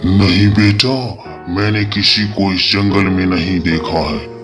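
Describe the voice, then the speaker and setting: A man playing earnest characters in a cartoon story, speaking in a deliberate way. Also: sits low, steady tone, over music. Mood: solemn. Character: earnest young male friends and a solemn forest holy man. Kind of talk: Hindi cartoon story dialogue.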